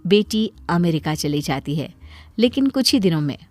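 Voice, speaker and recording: A woman narrating a moral cartoon story in a simple, measured way. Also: lowish voice, animated, darkish tone, no room sound, faint music behind.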